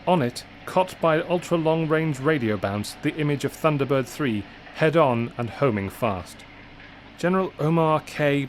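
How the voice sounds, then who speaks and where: A man's voice: mid-range voice, a little hiss or hum, low-quality recording. A man narrating an adventure story in a scripted, dramatic style.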